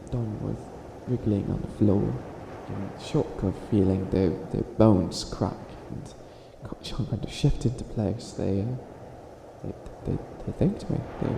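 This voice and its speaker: A man's voice: lowish voice, creaky. A man playing a theatrical, flustered would-be evil witch in a comedic ASMR roleplay, stammering and stretching words for dramatic emphasis. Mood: bewildered. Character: flustered self-styled evil witch, hopelessly helpful, theatrical. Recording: echoey room with audible background noise.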